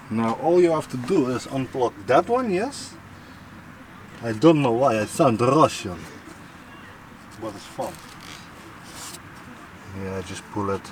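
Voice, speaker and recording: Slow-talking Dutch man fixing a car. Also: medium pitch, slight background noise, low-quality recording. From Netherlands. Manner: chatty, coaching a helper and addressing viewers as guys. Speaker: car repair channel host. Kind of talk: casual how-to car repair walkthrough. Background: background music.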